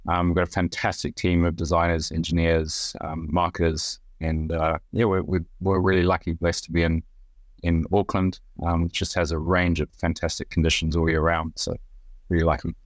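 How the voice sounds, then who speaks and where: A man's voice: sits low, little pitch movement, moderate pace, no room sound. A middle-aged man with a New Zealand accent, talking casually as a podcast guest and rambling through restarts and fillers. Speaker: guest, brand director.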